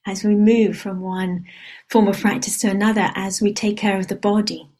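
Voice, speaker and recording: A woman whose first language is Hebrew, a meditation teacher giving a gentle, unhurried dharma reflection and often checking in with a soft "yeah?". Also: medium pitch, level tone. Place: meditation retreat hall.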